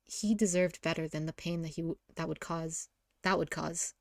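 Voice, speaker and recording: Woman, lowish pitch, dry sound, informal visual novel narration, giggly, stumbling rereads. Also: steady tone, moderate pace, gravelly, no echo.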